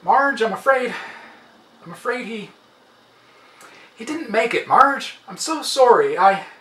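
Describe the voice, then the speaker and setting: A man playing a grave male forge coworker, urgent, halting and sorrowful, in a dramatic story reading. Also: very high voice, expressive, pushed and bright, pitched above the performer's own voice, heavily acted. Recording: roomy, slightly distant, faint noise.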